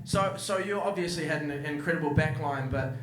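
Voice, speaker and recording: A man's voice: a little high, a little fast, roomy sound. A man, a podcast host who asks eager, rambling questions full of restarts. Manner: enthusiastic, stumbling restarts. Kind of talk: casual podcast interviewing.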